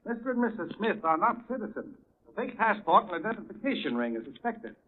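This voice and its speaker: An actor playing an urgent radio newscaster with punchy, declamatory 1930s delivery. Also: male voice, high-pitched, sing-song, quick pace, narrow, tinny audio. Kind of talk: 1930s news bulletin acting. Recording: thin, band-limited radio sound.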